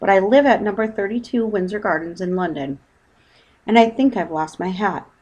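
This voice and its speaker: A woman's voice: medium pitch, normal speed, darkish tone, lo-fi sound, natural voice. A woman voicing a small, earnest male bear from a storybook, plaintive, firm and literal.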